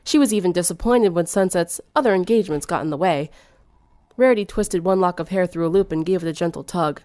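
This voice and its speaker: A woman's voice: fairly low pitch, fast talker, warm tone, no echo. A woman reading fan fiction aloud as scripted third-person narration.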